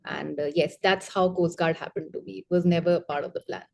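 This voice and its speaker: A middle-aged woman with an Indian accent, a retired officer talking candidly about her career and giving advice in a relaxed interview. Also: on the low side, fairly quick, creaky. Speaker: retired coast guard officer, interview guest. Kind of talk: conversational interview, mixing English and Hindi.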